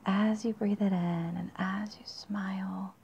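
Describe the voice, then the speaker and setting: A woman leading a guided meditation in a soft, breathy, husky near-whisper with long pauses, in a dull, muffled recording. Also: medium pitch, steady tone, slight background noise.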